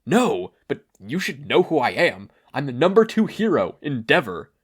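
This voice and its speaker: A man playing a proud, gruff, easily offended male superhero who talks in a forceful, scornful way. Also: mid-range voice, big pitch swings, normal speed, voice pushed up. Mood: offended, indignant.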